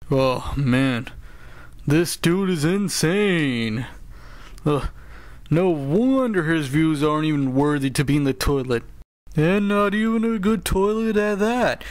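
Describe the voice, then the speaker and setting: A man's voice: on the high side, no echo. A man voicing a grumbling, broke male cartoon show announcer who whines, sighs and makes sarcastic complaints. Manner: whiny, complaining and sarcastic, with many sighs and groans. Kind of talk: comedic cartoon voice acting.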